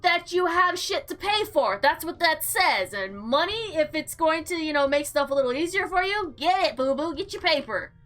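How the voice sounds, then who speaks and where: A woman hosting a visual novel playthrough, reading aloud and reacting with animated, slangy exclamations over background music. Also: sits high, normal speed, few pauses. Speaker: gaming video host.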